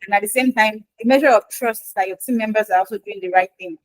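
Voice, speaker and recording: Woman, quick speech, dry close sound, lively storytelling. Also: medium pitch, no echo, rough recording. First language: Yoruba. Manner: lively, chatty storytelling with acted-out quotes. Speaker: guest expert in quality assurance. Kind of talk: AMA interview answer.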